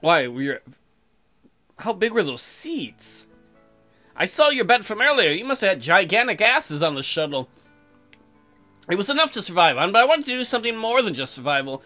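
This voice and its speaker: Bright, animated male let's play host. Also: high-pitched, normal speed, long pauses, faint music behind. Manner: irreverent, crude, mocking. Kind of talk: joking game commentary mixed with reading aloud.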